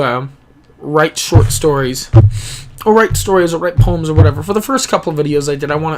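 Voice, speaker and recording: A man's voice: a little high, a little hiss or hum. Earnest young man, rambling vlog. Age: young adult. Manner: earnest, reflective, with pauses and restarts. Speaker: gaming YouTuber. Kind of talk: rambling personal vlog monologue. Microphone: camera-mounted microphone, close.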